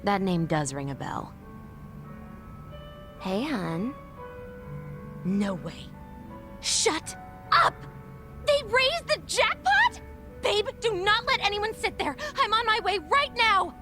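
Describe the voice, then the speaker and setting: A brusque young woman in a game cutscene, dismissive and slangy. Her pitch jumps around a lot as she talks, and faint music plays behind her. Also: wildly swooping pitch, takes it slowly, pauses often, exaggerated voice. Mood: frantic, annoyed. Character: brusque, impatient young ex-nurse, flippant pachinko fanatic. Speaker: video game character. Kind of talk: dramatic game cutscene acting.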